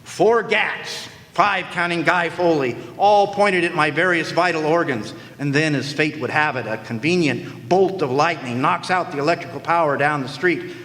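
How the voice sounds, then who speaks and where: A man's voice: fairly high pitch, moderate pace, few pauses, fairly bright tone, lightly acted. An actor in a live radio play playing a wisecracking hardboiled male private eye who narrates in a dry, sardonic way. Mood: wry. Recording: echoey hall with faint noise.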